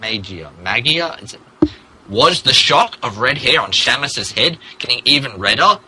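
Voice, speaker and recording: A man's voice: medium pitch, animated, moderate pace, background noise. A man narrating a fan fiction story as a mock-dramatic podcast reading, amused, theatrical and emphatic.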